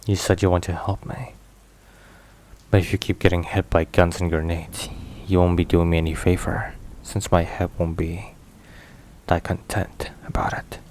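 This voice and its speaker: A man playing a stern, protective, weary mafia boss in an intimate ASMR roleplay, speaking in a grave, measured, halting way. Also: low voice, lightly acted. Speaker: voice actor. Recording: steady rain and thunder noise. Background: rain and thunder.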